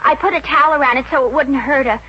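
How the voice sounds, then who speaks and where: A woman's voice: high-pitched, steady tone, fast talker, close to an everyday voice. A woman playing a naive, loyal young switchboard girl in a 1950s radio comedy, earnest and dim. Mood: earnest, flustered. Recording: dull, hissy old 1950s radio recording.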